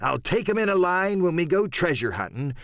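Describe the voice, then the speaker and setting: A man playing a cunning, swaggering one-legged pirate sea cook in an audiobook, with rough, folksy, scheming sailor talk. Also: high-pitched, sing-song, a little fast, fairly bright tone, no room sound, clean studio sound, voice pushed up, exaggerated voice. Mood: confident, menacing. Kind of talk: audiobook character performance.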